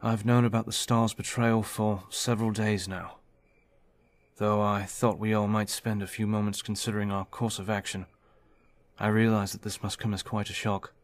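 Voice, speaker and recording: A man voicing a measured, courteous team strategist in an audiobook, speaking in a formal, projected way. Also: fairly low pitch, level tone, dry recording, close to an everyday voice. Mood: measured, resolute. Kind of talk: audiobook character dialogue. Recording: music behind the voice.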